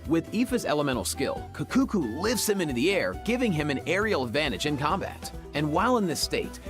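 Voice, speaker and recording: A man's voice: a little high, sing-song, brisk. A man presenting a game preview program in an upbeat, scripted showcase style, explaining things with enthusiasm. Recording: music playing behind the voice. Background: music.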